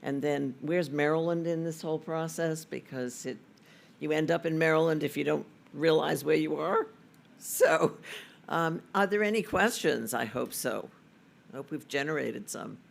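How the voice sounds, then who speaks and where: Gravelly, higher-voiced session moderator. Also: male voice, moderate pace. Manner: chatty, self-deprecating. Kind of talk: moderating a panel.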